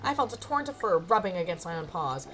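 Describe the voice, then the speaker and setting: Woman as lively, wry storytelling cat apprentice. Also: on the low side, quick pace. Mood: dramatic. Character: witch's cat apprentice, wry, self-deprecating narrator. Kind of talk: dramatic reading of visual novel narration. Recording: faint music behind.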